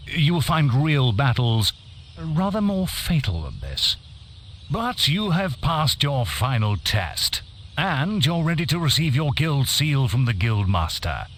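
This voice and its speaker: An actor playing a stern, coolly superior wizard mentor in a fantasy video game, formal and commanding. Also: male voice, mid-range voice, big pitch swings, takes it slowly, a bit bright, a little hiss or hum. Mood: stern, measured.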